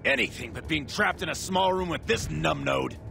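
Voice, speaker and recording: An actor playing a sarcastic, swaggering robot soldier in a video game, with a gravelly, irreverent delivery. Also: male voice, fairly high pitch, moderate pace. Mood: scornful. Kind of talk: video game character acting. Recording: loud music and battle noise behind.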